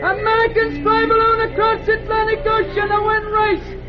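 A performer playing an excited newsboy, hawking an extra edition in a stretched sing-song cry on an old radio show. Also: very high voice, moderate pace. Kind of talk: 1940s radio dramatization. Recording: dull old radio recording with music.

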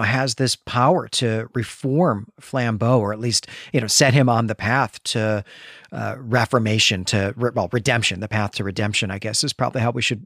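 A man's voice: medium pitch, a bit bright, no echo, clean studio sound. A man hosting a literary discussion podcast, talking in an articulate, discursive and emphatic conversational way. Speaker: podcast host.

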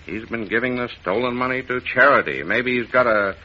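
An actor playing a gruff, stubborn, exasperated police inspector in 1940s radio style, blunt and hectoring. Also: male voice, mid-range voice, brisk, close to an everyday voice. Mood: blunt, sarcastic. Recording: muffled old radio drama recording.

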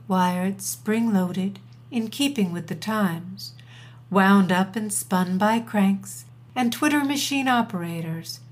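A woman poet introducing and reciting her own work with deliberate, measured phrasing and pauses. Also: medium pitch. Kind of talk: poetry reading with scripted introductions.

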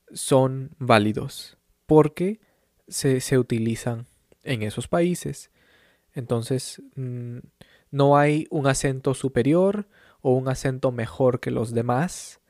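A man from Peru, a language teacher, speaking calmly and encouragingly in a clear teaching monologue and adding short glosses in English. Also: mid-range voice, no echo, studio quality.

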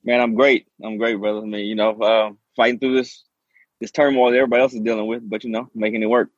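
A man from Southeast Texas, speaking quickly in a low, flat voice as he tells his story. The sound is dry and somewhat dull and lo-fi. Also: no room sound. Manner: fast, eager storytelling. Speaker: guest, real estate investor. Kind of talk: casual interview talk.

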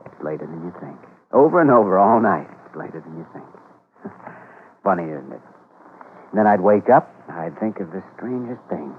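An actor playing an earnest, playful young doctor who is tenderly smitten, in an old-time radio drama. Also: male voice, low voice, big pitch swings, normal speed, pauses often, slight background noise. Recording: muffled vintage radio broadcast, music behind.

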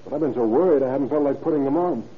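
A man's voice: medium pitch, racing, close to an everyday voice. An actor playing an evasive, easily agitated young American man under suspicion in an old-time radio crime drama, deferential but defensive. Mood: guarded. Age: young adult. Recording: muffled, noisy vintage radio recording.

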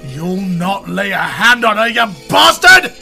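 A man's voice: high-pitched, big pitch swings, a little slow, vocal fry, over loud music, full character voice. A man playing a decent, anguished working-class family man in an audio drama, earnest, with a British accent. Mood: furious.

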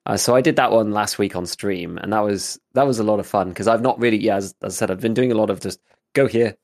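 A man with a lowish voice and a slight gravel co-hosts a casual gaming podcast. He rambles through his points, leaning on filler words as he goes. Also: fast talker, darkish tone. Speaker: podcast co-host, gaming YouTuber. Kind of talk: casual chat.

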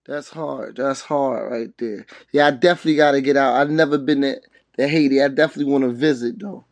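A man's voice: medium pitch, fairly even intonation, brisk, dry recording. A man hosting a casual hip-hop interview, speaking in slang and often repeating his words and phrases. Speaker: podcast host interviewer.

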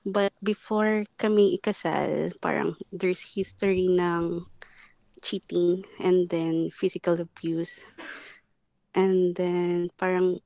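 A young woman calling in to talk through a personal problem. She speaks slowly and hesitantly, full of pauses and fillers, in a dry close sound. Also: lowish voice, fairly even intonation, gravelly, dark tone, dry recording. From San Francisco. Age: 20s. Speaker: caller.

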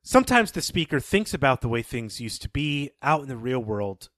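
A man co-hosting a podcast, talking casually and explaining things in a measured, thoughtful way. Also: mid-range voice, big pitch swings, a bit bright, no room sound, clean studio sound. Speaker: podcast co-host. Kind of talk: casual podcast talk and story recap.

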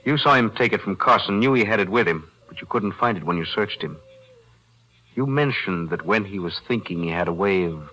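An actor playing a calm, shrewd attorney in a 1940s radio drama, speaking in a measured, deliberate way. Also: male voice, lowish voice, dull audio.

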